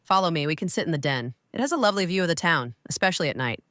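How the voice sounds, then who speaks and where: An actress playing a gracious older woman, a grandmother and retired lawyer, talking in a measured, welcoming way. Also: lowish voice, lively intonation, a bit bright, no room sound. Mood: calm. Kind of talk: audio drama acting.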